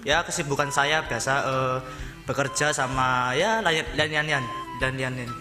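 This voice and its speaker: A man's voice: medium pitch, a little slow. A nineteen-year-old man, answering in a joking, hesitant, rambling way. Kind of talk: casual interview answers. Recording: echoey hall. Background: loud music.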